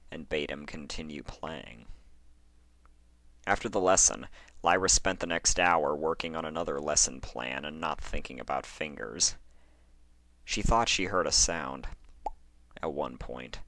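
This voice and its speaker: Deep, bright-voiced male horror narrator. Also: no room sound. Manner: deliberate phrasing with dramatic pauses. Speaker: fan fiction narrator. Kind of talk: dramatic scripted horror story narration.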